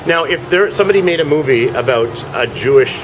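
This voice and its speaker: A man's voice: fairly high pitch, lively intonation, fast talker. A man conducting a street interview, asking short neutral questions. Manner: brief neutral prompts. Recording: clear street noise behind.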